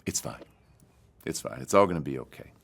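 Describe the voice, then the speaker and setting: An actor playing a folksy, reassuring husband in an audio drama, casual and placating in manner. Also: male voice, rumbling low, lively intonation, fairly quick, vocal fry, heavily acted. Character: folksy, falsely reassuring husband with hidden intent. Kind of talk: naturalistic audio fiction acting.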